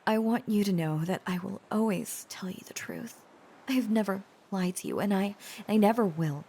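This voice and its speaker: A woman playing a tender, protective young dragon-descended girlfriend in an intimate romantic audio roleplay, speaking gently and hesitantly in a breathy, often whispered voice. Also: mid-range voice, moderate pace, a little hiss or hum, close to an everyday voice. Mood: earnest, devoted. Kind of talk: intimate roleplay audio drama, softly acted.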